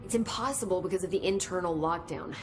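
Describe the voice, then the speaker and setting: A woman's voice: fairly low pitch, quick pace, lightly acted. An actress playing an earnest, curious woman scientist in a video game cutscene. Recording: game cutscene with clear ambient noise.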